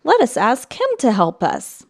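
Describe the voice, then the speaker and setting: Woman voicing a high, eager little toy clown. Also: wildly swooping pitch, unhurried, creaky, full character voice. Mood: eager, hopeful. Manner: bright calling out, eager.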